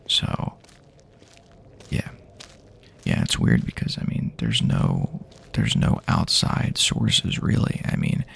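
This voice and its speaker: A man playing a wary but hopeful, laid-back apocalypse survivor in a hushed, halting, rambling, partly whispered ASMR roleplay. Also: sits low, gravelly, slight background noise, full character voice. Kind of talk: improvised ASMR roleplay, soft-spoken.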